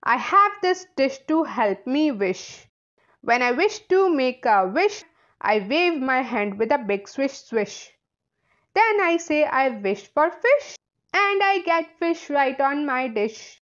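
A woman plays a whimsical creature from a children's storybook, pleased with itself. It speaks in a high, lively, showy sing-song. The sound is slightly lo-fi. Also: slow, heavily acted. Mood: whimsical, proud.

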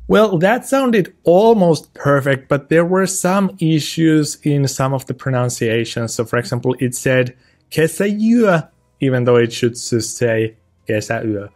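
A man's voice: on the high side, lively intonation, measured pace, darkish tone. Young man explaining cheerfully. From Finland. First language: Finnish. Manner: upbeat, explanatory, thinks aloud with small asides. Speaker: tutorial host. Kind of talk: casual screen-recorded tutorial walkthrough. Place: indoor room with shelves.

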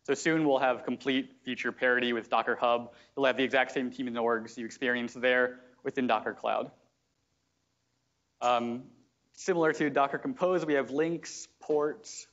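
A man explaining software step by step in a live technical demo at a meetup, with frequent fillers. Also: mid-range voice, steady tone, normal speed, muffled recording. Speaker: software engineer giving a product demo. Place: meetup room at a company office.

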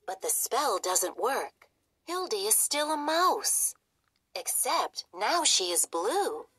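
A woman reading a children's story aloud in an expressive, theatrical manner. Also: on the high side, slow pace, husky, no echo. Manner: animated, theatrical. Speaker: storybook reader.

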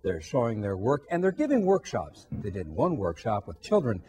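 A man's voice: lowish voice, wildly swooping pitch, normal speed, darkish tone. Upbeat male TV anchor. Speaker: TV news anchor. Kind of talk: scripted news presentation. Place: TV studio.

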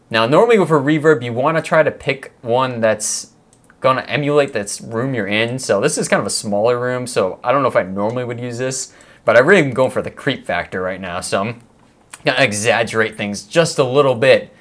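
A man's voice: mid-range voice, fairly bright tone. A young man hosting a video editing tutorial in a casual, jokey chat style, on a lapel mic in a small room. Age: young adult. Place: small home studio room, indoors.

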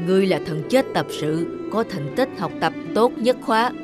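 A woman's voice: medium pitch, expressive, moderate pace, over loud music, lightly acted. A woman voicing a cold old master Grim Reaper in a cartoon, solemn and commanding. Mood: cold, stern. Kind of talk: animated fairy-tale dub.

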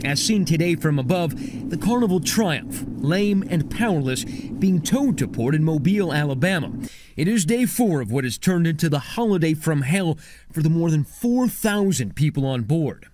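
A man's voice: fairly high pitch, sing-song, moderate pace, a little mellow. A man reporting a news story as correspondent, with a dramatic, emphatic scripted delivery.

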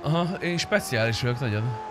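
A man's voice: medium pitch, lively intonation, music in the background. A young adult man chatting casually and swearing freely as he streams a roleplay game. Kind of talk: casual roleplay gaming chat. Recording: clear car engine noise behind.

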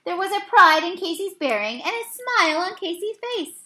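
A woman with a high, bright voice reads verse aloud from a picture book. Her delivery is halting, with the odd misreading that she goes back to fix. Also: big pitch swings. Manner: halting, with misreadings and self-corrections. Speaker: read-aloud reader. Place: small indoor room.

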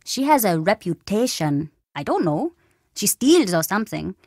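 Mischievous little boy for a video game: chatty, cheeky, animated delivery, dry close sound. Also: mid-range voice, moderate pace, no echo. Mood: resentful. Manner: cheeky, dramatic, childlike bluster. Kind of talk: video game character dialogue.

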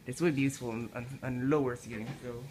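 Male vlogger, everyday mid voice, halting delivery, phone audio in a home with audible room noise. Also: medium pitch, steady tone, a little mellow. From Philippines. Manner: halting, repeats words and restarts often. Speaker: fan enthusiast vlogger. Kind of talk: casual filmed commentary. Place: indoors in a house kitchen. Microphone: handheld phone camera.

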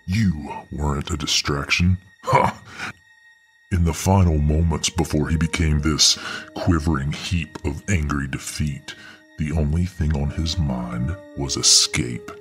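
A man playing a huge, dominant, contemptuous supervillain in an ASMR audio role-play, with a very deep voice and deliberate, taunting delivery. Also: steady tone, a bit bright, soft background music. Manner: deliberate, taunting, theatrical monologuing. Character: huge muscle-bound male supervillain, dominant, contemptuous, righteous.

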